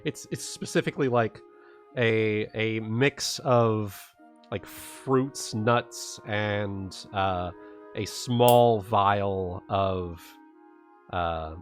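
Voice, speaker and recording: A man's voice: fairly low pitch, extremely slow, faint music behind. A man chatting casually as a player in a tabletop roleplaying game.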